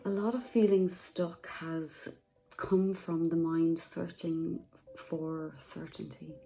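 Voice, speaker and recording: A woman guiding a meditation, speaking softly and slowly with long pauses. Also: low-pitched, level tone, vocal fry, faint music behind. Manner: slow, soft, soothing, with long pauses. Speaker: meditation guide. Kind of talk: guided meditation.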